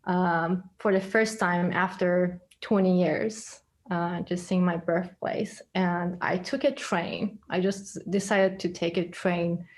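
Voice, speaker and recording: Slow-talking woman on a video call. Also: lowish voice, steady tone. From Iran. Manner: hesitant, many fillers, laughs often. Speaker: guest, studio co-founder. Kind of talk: casual interview storytelling.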